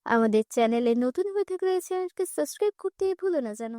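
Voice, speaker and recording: A woman's voice: high-pitched, expressive, quick pace, mellow, no room sound, studio quality. A woman narrator reading a scripted fairy tale for children.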